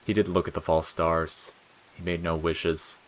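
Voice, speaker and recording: Male narrator, low voice, scripted audio fiction, dramatic storytelling. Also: level tone, a little slow.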